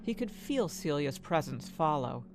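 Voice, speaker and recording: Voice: medium pitch, sing-song, a little slow. A narrator reading a scripted fantasy story in the third person. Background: music.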